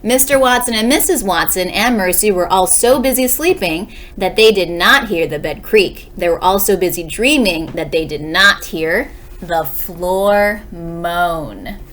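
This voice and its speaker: A woman teacher reading a children's story aloud with dramatic pauses, speaking directly to young listeners. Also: fairly low pitch, fairly bright tone, slight background noise.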